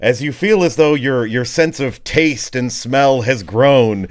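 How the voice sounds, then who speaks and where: Man improvising vivid tabletop game narration. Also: medium pitch. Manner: vivid, colloquial, halting description. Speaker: game master.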